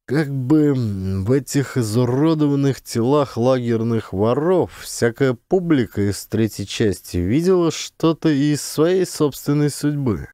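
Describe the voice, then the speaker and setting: A man's voice: medium pitch, animated, unhurried, few pauses, dark tone, dry recording, clean studio sound. A man reading a literary memoir as a scripted audiobook narration.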